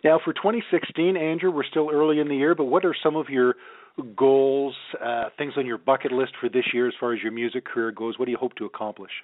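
A man's voice: medium pitch, steady tone, fast talker, no echo. Male radio host, thin phone-like sound. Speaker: radio host interviewing a musician. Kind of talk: radio interview. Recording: narrow phone-like band.

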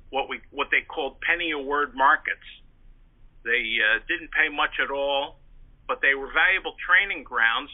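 A man in his sixties with a mid-pitched voice answers interview questions in a chatty, discursive way. He is articulate and reminiscent, speaking as a guest. The sound is narrow and tinny, like a phone call. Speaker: interview guest, pulp fiction historian and author. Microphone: telephone.

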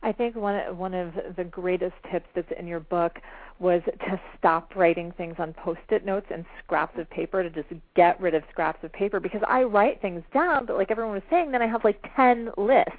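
A woman's voice: on the low side, moderate pace, no echo. Woman host on a dry phone line. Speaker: show host. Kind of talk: interview questions.

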